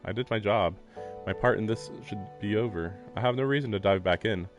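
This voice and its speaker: A man's voice: on the low side, brisk, muffled recording. A man reading a visual novel aloud as a streamer, dropping in casual, wry asides. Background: music.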